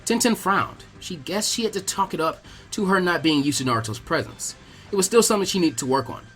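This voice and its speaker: Lively high-pitched man narrating a story. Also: a little fast. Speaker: fan fiction reader. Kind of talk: animated scripted narration with character voices. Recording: music behind the reading.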